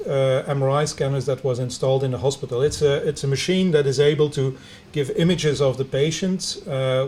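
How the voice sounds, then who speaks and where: A middle-aged Belgian man with a Dutch accent gives a lecture in English, his voice pitched a little high. He talks haltingly, with many fillers and restarts. A faint hiss of noise sits behind him. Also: a little mellow. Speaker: biomedical engineer giving a lecture. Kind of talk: lecture to students over video conference.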